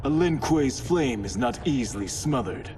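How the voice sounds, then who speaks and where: An actor playing an honorable, resolute ninja warrior in a video game, speaking in an earnest, formal way. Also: male voice, mid-range voice, animated, moderate pace, lightly acted. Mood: defiant. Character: honorable, resolute male ninja warrior loyal to his clan. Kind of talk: cinematic video game acting. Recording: loud music behind the voice. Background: cinematic game music.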